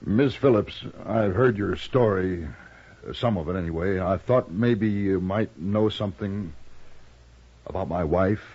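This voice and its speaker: Humble, folksy American rancher, old West radio drama; low, husky, sorrowful voice on muffled vintage recording. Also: male voice, measured pace, a little mellow. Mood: subdued, sorrowful. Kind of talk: 1950s radio western acting.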